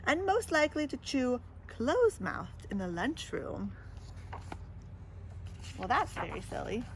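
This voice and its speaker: A woman reading a children's picture book aloud in an animated way, pausing to put questions and little comments to young listeners. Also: high voice, slight background noise. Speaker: storybook reader.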